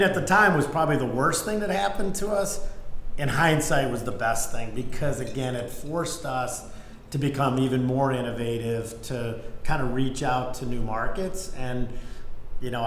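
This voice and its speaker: A man's voice: medium pitch, normal speed, a bit bright. A middle-aged man, an interview guest, telling anecdotes in an informal conversational way. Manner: informal, peppered with "you know" and "like". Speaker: business executive, interview guest. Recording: echoey room, faint background noise.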